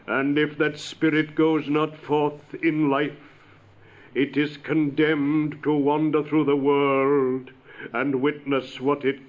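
A man voicing the solemn, mournful ghost of an old man, speaking slowly and gravely. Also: mid-range voice, lightly acted. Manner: slow, grave, drawn-out delivery. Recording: dull lo-fi recording.

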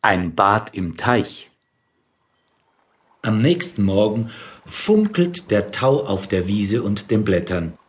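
A man's voice: fairly low pitch, very animated, takes it slowly, pauses often. A man reading scripted narration for a children's audiobook.

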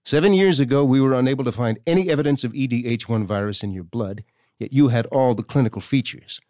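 An actor playing a stern, formal government doctor reading out his correspondence in a measured, condescending way for an audio drama. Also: medium pitch, dark tone, no echo, close to an everyday voice. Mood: measured, warning.

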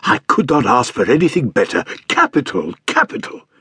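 A man voicing a stout, jovial, excitable British gentleman in an audiobook, effusive and blustering. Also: medium pitch, moderate pace, slightly rough, no room sound, clean studio sound, full character voice. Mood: genial, delighted. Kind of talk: audiobook character acting.

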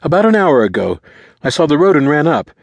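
A man's voice: fairly high pitch, sing-song, brisk, creaky, dry recording, voice pushed up, full character voice. A man voicing a frantic, terrified teenage boy who pleads urgently for help in a story. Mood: anxious.

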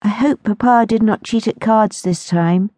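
A woman's voice: medium pitch, a little slow, dark tone, dry recording, voice pushed up, close to an everyday voice. A woman voicing a lonely, old-fashioned young noble girl who speaks in a prim, formal, earnest way.

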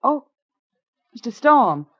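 Guarded young American saloon singer, prickly politeness, highish swooping voice, radio western, muffled noisy old recording. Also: female voice, very animated, takes it slowly, dry recording, exaggerated voice. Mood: indignant.

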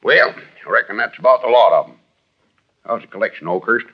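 An actor playing a folksy, kindly mining-camp caretaker in an old radio drama, with a rustic drawl. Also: male voice, lowish voice, brisk, breathy edge. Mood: easygoing. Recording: dull vintage radio recording.

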